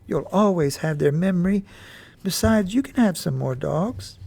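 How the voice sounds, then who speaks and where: A patient, loving mother, played by a man reading the story, who speaks in a soft, unhurried, soothing voice. The pitch sits a little above the reader's own and carries some gravel. It sounds like a storybook reading. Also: expressive, dry recording, exaggerated voice. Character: gentle, patient mother comforting her son.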